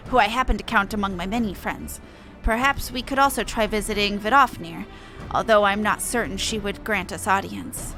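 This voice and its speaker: Woman as a soft-spoken, thoughtful game dragon. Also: medium pitch, soft background music, poor audio. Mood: calm. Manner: measured, formal, reflective. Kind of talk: reading game dialogue aloud in character.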